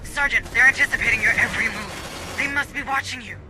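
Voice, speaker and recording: High-voiced military mission operator. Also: male voice, fairly quick. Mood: focused. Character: alert, sardonic military operator guiding a squad. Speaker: mission coordinator on comms. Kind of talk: video game voice acting. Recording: noisy game ambience behind.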